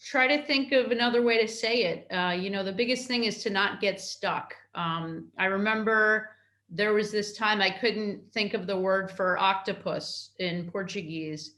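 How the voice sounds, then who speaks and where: A middle-aged woman from New York, a consul answering interview questions in a halting way, with many fillers and restarts. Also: mid-range voice, sharp tone, reverberant. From New York, United States.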